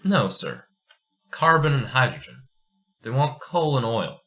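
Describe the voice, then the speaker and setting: A man's voice: mid-range voice, very animated, measured pace, a bit bright, pitched below the performer's own voice. A man playing an earnest, strict astronomer in an amateur radio play, speaking formally and emphatically. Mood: frank. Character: earnest, agitated astronomer pleading for change, fatherly and strict.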